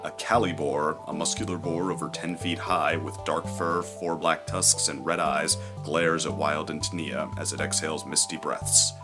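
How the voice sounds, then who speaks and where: A man narrating a scripted adventure story in a measured, descriptive, dramatic storytelling style. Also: very deep voice, level tone. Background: music.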